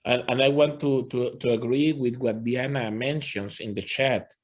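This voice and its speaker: A man serves as interpreter for an online parents' meeting in a mid-range voice. He moves quickly between Spanish and English and fills gaps with "este" and "pues". He renders the other speakers' points with warm agreement. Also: mid-range voice, fairly even intonation. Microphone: video call.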